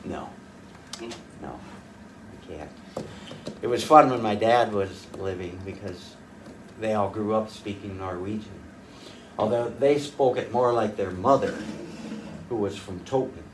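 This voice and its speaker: A man's voice: on the low side, measured pace, long pauses. Older male folk fiddler, rambling folksy storyteller, recorded from across the room with faint room hum. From rural northwest North Dakota. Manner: rambling, folksy, with frequent uh's and restarts. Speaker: folk fiddler giving a talk. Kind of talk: casual storytelling to a small audience. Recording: distant mic, faint room noise.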